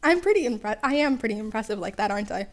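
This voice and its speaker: A woman voicing a cheeky, flippant teenage boy in a story, taunting and joking in deadpan. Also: mid-range voice, fast, close to an everyday voice. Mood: teasing. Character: cheeky, flippant, reckless teenage boy who shrugs off death.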